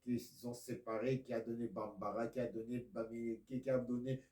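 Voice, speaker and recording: A man from Congo preaching a video sermon, a speaker of Lingala and Kikongo who swings from loud declaiming to near-whispers. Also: mid-range voice, flat delivery, moderate pace, roomy sound.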